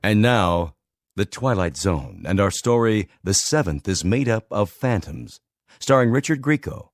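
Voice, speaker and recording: A man's voice: on the low side, sing-song, measured pace. A man announcing a radio drama in a scripted title-and-credits style.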